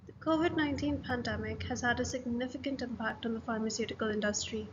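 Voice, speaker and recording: A young woman, a student presenter, reading a scripted presentation in an even, list-like way over a laptop webcam in a small room. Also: fairly high pitch, fast. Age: young adult. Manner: even, read-aloud delivery with list-like pacing. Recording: lo-fi, echoey room with hiss.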